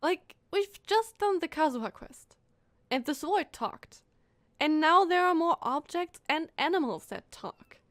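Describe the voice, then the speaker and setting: Animated, high-voiced woman streaming a game. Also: unhurried, dry recording, studio quality. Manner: animated, incredulous, asks many questions. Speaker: streamer reacting to a video game. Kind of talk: casual live game commentary.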